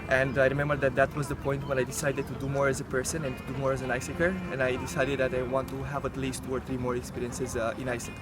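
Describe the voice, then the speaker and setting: A man in his twenties from Romania with a Romanian accent, presenting himself earnestly and haltingly in a semi-prepared video. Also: medium pitch, little pitch movement. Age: 20s. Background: loud music.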